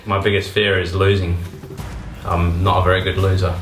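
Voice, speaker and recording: A man's voice: low voice, level tone, normal speed, roomy sound, soft background music. A man, a contestant chatting in an understated, casual way. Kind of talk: casual chat. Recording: very loud background noise.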